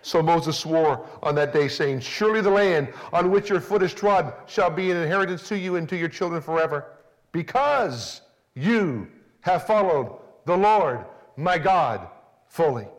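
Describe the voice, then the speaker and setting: A man preaching a sermon, swinging from soft, low emphasis to raised, excited lines, sometimes acting out a biblical speaker in first person. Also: measured pace. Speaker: pastor.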